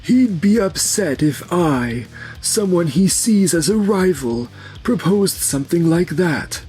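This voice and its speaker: A man's voice: high voice, lively intonation, slow pace, darkish tone, faint music behind. A man voicing a stern, authoritative elderly grandfather in a manga dub, lecturing his family. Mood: explaining, matter-of-fact. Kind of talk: anime-style manga dub acting.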